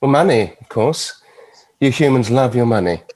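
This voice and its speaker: A man playing a husky, animated, suave British devil. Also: mid-range voice, moderate pace, natural voice. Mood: smooth, matter-of-fact. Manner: teasing, flippant, debonair. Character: suave, mischievous, immortal devil running a nightclub. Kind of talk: table read of a TV drama.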